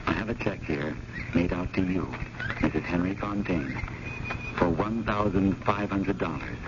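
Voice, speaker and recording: An actor playing an earnest, courteous museum curator in a radio drama, polite, formal and emphatic. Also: male voice, deep voice, unhurried. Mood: earnest, gentle. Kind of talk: 1980 American radio drama acting. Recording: dull, noisy old radio broadcast.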